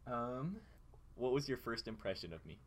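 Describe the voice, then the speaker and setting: A man's voice: medium pitch, expressive, moderate pace. A young man in casual conversation as a podcast co-host, earnestly explaining himself. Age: young adult. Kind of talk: casual chat.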